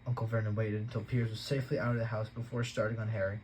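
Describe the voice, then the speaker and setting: A man reading a novel aloud at a steady pace, with an occasional stumble he corrects. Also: on the low side, fast talker, a little hiss or hum. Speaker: audiobook reader.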